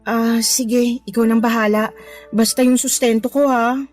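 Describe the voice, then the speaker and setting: Actress as high-voiced coaxing Filipina mistress. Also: female voice, level tone, unhurried, a little mellow, soft background music, natural voice. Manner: coaxing, sweet-talking. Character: Olivia, pregnant young mistress, coaxing, cajoling, money-minded. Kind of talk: Tagalog radio drama acting.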